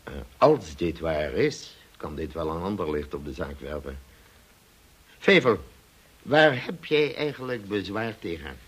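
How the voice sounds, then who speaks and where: An actor in a radio play playing a stern male colonel, husky, brisk and questioning, with an air of authority. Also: deep voice, very animated, fairly bright tone, exaggerated voice. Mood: brisk, sceptical.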